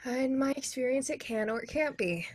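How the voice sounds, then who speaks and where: A woman's voice: high voice, moderate pace, no echo. A young woman playing a skeptical, persistent girlfriend in a contemporary radio drama, dry and argumentative as she questions her partner. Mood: dry, insistent. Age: young adult. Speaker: student voice actor.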